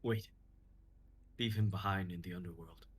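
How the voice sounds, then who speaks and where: A man's voice: deep voice, steady tone, normal speed, natural voice. A young man playing a brusque, commanding underworld guide in a fantasy reading, with terse delivery. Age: young adult.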